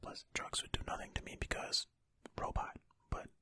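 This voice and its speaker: Casting: ASMR storyteller, fully whispered, very sharp airy tone, informal and unhurried, close to the mic. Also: dry recording. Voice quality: breathy, unvoiced whisper. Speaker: ASMR host reading and commenting on stories. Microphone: close ear-to-ear ASMR microphone.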